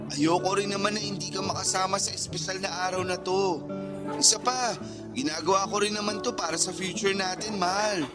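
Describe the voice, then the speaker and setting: Actor as a very high-pitched pleading boyfriend. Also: male voice, steady tone, brisk, music in the background, close to an everyday voice. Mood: apologetic, pleading. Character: eager, apologetic young boyfriend on a call. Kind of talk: radio drama dialogue.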